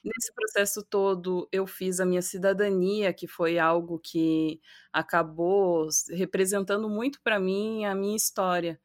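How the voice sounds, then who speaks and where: A woman's voice: lowish voice, level tone, a little slow, dry recording, studio quality. Brazilian woman with a light southern accent. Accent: light gaúcho accent mixed with Porto Alegre. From southern Brazil, Rio Grande do Sul countryside. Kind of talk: casual conversation.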